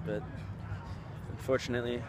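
A man's voice: medium pitch, flat delivery, normal speed, background noise. A young American man chatting casually to camera in a slangy way, recorded on a phone held at arm's length. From Texas, USA. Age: young adult. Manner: slangy, mildly profane. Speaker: vlogger, college rugby player. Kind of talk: casual vlog chat to camera. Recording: background chatter, handheld outdoor and indoor audio.

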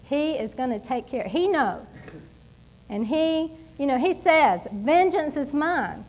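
A middle-aged woman delivers a sermon from a church pulpit. Her voice sits high, and she preaches with emphatic, animated energy and quick asides to the congregation. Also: a little hiss or hum, low-quality recording. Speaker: pastor. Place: church stage at a wooden pulpit.

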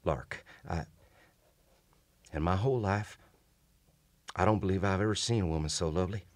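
An actor playing a wounded, gentlemanly soldier in a radio drama, narrating and speaking in a grave, formal, courteous manner. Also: male voice, sits low, normal speed, close to an everyday voice. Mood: smitten, earnest. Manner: formal, grave, old-fashioned courteous speech. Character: wounded gentlemanly soldier recounting his haunted, doomed past. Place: small indoor radio studio. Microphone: shared vintage-style studio mic on stand.

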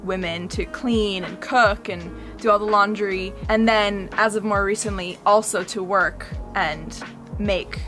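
A woman's voice: medium pitch, steady tone, a little slow, over music. A young woman from Bulgaria chatting casually with her husband on a vlog, laughing often and saying "like" a lot, recorded outdoors in a garden. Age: young adult. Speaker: vlogger, co-host. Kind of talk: casual chat.

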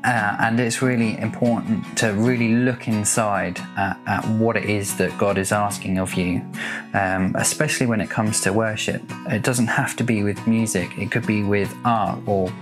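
A man in his thirties with a fairly low voice talks to camera at home. He gives a casual devotional message, pausing and feeling his way through it at an unhurried, hesitant step. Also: steady tone, music in the background. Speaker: church member presenting a devotional video. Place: small home room with bookshelves, indoors.

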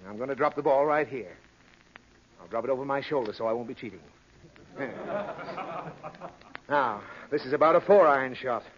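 A man's voice: mid-range voice, moderate pace, long pauses, lightly acted. A man playing a fussy, flustered, exasperated father in a 1930s radio comedy. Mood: harried. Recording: dull, noisy 1930s radio recording.

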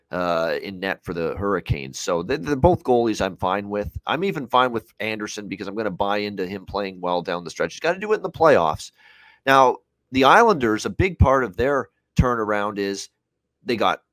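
Lower-voiced man giving sports analysis. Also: fairly bright tone, no echo, poor audio. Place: indoor room on a video call.

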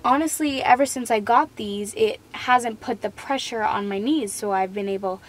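A woman's voice: a little high, normal speed. A young woman co-hosting a casual snack review in a car, earnest and a little hesitant. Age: young adult. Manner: earnest, a bit hesitant, restarts. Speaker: co-host. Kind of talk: casual chat, product review. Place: parked car. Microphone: camera mounted in car.

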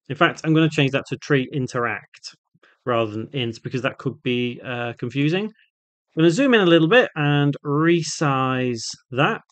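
Man presenting tutorial, slightly higher-pitched voice. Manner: instructional, thinking aloud. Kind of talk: unscripted step-by-step software tutorial voice-over.

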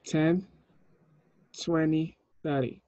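A man in his thirties leading an informal meeting as a film director, giving halting instructions over a video call. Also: fairly high pitch, little pitch movement, mellow, dry recording. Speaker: short film director leading a table read. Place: indoor room, on a video call. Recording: dull, muffled video call audio.

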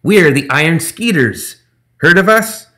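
Man playing a gremlin pirate captain, high and loud, slow grand pronouncements, self-important, storybook villain. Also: lively intonation. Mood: grandiose. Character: pompous male gremlin sky pirate captain.

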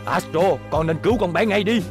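A man's voice: sits high, a little fast, over music, natural voice. A man voicing an older male teacher, scolding but caring, in a dubbed children's cartoon. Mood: alarmed.

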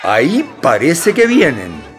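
A genial Chilean radio-play host, narrates with theatrical flair and very lively intonation. Guitar music plays clearly behind the voice. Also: male voice, medium pitch, takes it slowly. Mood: storytelling.

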